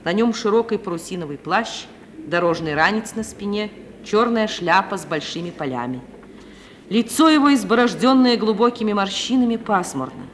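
A woman's voice: medium pitch, lively intonation, moderate pace. A woman reading scripted scene narration for a mid-century radio play. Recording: faintly noisy 1950 radio recording.

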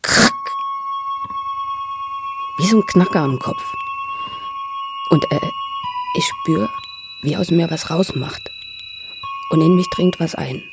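A man, probably middle-aged, tells his own story in a husky voice, halting and repeating words with intensity. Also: fairly high pitch, wildly swooping pitch, over music, rough recording. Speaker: interviewee telling his life story. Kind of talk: spontaneous, emotional personal storytelling.

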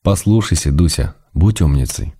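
An actor playing a fond, gently chiding middle-aged writer, reading intimate letters to his wife in measured tones for a radio play. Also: sits low, animated, slight background noise.

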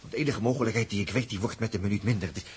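A man's voice: fairly low pitch, racing, close to an everyday voice. An actor playing a stressed young spaceman in a 1960s radio drama, hesitant and urgent, repeating himself as a reluctant amateur surgeon. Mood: agitated. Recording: faintly noisy 1960s radio recording.

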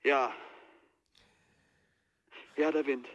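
A man's voice: medium pitch, steady tone, extremely slow, muffled recording. An actor in a radio play playing an anxious, pleading man who is haunted by fear, speaking urgently and hesitantly. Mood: uneasy, fearful. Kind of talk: 1980s German radio play acting.

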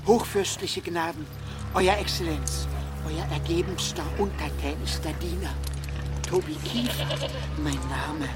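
A male actor as an obsequious sexton in a radio drama. He pleads in a high, halting, servile voice, with clear music behind him. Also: close to an everyday voice. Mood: grovelling, nervous. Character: cringing, servile village sexton pleading innocence. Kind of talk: German radio play acting.

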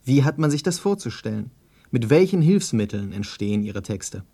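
Mid-pitched male radio interviewer. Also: big pitch swings, moderate pace, dark tone. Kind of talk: scripted interview narration and questions.

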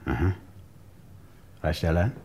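An actor playing a brisk, impatient French police inspector, curt and sardonic, in an old German crime radio play. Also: male voice, sits low, warm tone. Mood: businesslike.

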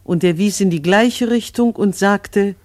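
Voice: mid-range voice, measured pace, darkish tone, no room sound. A narrator reading scripted radio drama narration in a solemn, biblical cadence.

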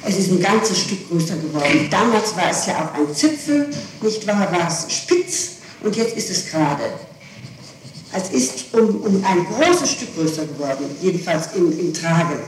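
A woman's voice: on the low side, animated, moderate pace. A woman answering questions in an interrogation, defensive and insistent, often repeating herself. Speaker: interrogated witness. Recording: distant, very echoey, noisy archival tape.

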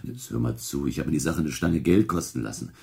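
A self-satisfied ex-husband in a radio play. The voice is very low, husky and dark, with lively, breezy swings as he brags. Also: a little fast, exaggerated voice. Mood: confidential boasting. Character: boastful, wheedling Russian ex-husband with connections. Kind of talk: German radio drama acting.

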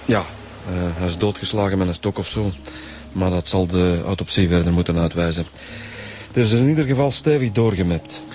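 An actor playing an earnest police detective in a radio drama, talking matter-of-factly with a Flemish accent. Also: male voice, deep voice, normal speed, a little mellow, a little hiss or hum, lightly acted. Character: earnest, matter-of-fact male police detective, Rudy's rank below chief. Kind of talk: naturalistic radio drama acting.

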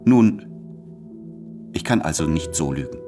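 An actor playing a conscientious, troubled civil servant torn by love, in an earnest and deliberate literary radio drama delivery. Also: male voice, extremely low pitch, expressive, over music.

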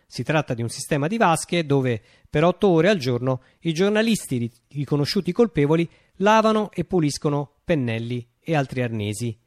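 A man's voice: on the high side, a little mellow, no room sound, studio quality. Man acting a declamatory, swooping utopian ruler. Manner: declamatory, halting with self-corrections. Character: eccentric self-styled ruler decreeing a utopian new order. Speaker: actor performing a radio monologue. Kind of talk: theatrical radio monologue.

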